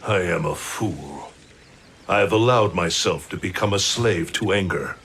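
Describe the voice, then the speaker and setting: An actor playing a stern, solemn god in a video game cutscene, speaking in a measured way. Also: male voice, low voice, fairly bright tone, a little hiss or hum. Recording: game audio with faint score.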